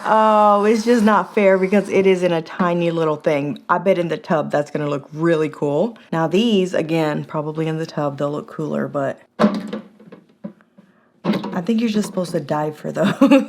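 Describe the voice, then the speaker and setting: A middle-aged woman, hosting a casual product review vlog in a chatty, animated way, a little hoarse. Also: moderate pace, slight background noise. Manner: chatty and animated, with gasps and big jumps in pitch.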